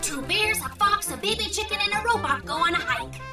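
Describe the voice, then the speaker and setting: Cartoon robot gadget: precise, obliging, high-pitched and chatty, music underneath. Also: animated, a little fast, reverberant, far from the mic. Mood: chatty, pleased. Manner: formal, precise announcing, eager to please. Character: chirpy, chatty, cheerful little robot navigation gadget. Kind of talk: children's cartoon voice acting. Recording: music behind the voice.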